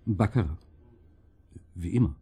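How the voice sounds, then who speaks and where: An actor in a radio drama playing a courteous, discreet hotel receptionist with a formal manner. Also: male voice, sits low, animated, a little slow, mellow. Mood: dry, sly.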